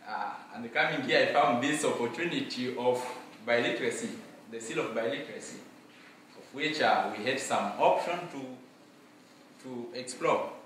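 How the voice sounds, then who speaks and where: A young man from Congo, a native Mashi speaker, giving an earnest student presentation in a library room with many filler pauses. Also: mid-range voice, fairly bright tone, a little hiss or hum. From Democratic Republic of Congo. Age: young adult. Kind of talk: formal presentation to an audience. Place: library room with bookshelves, indoors. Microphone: far camera. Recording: echoey room, from far off.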